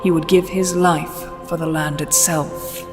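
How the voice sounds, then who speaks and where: A solemn narrator with a medium-pitched voice tells a scripted fantasy legend in formal storytelling style. Music plays clearly behind the voice. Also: flat delivery, a little slow.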